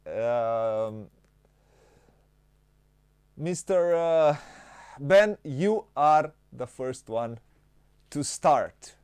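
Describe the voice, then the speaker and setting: Man, show host, halting casual speech, unhurried, dry close sound. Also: mid-range voice, lively intonation, a little mellow, clear, resonant tone, no echo. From Romania. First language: Romanian. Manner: halting, searching for words. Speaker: host of a board game show. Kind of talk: casual chat.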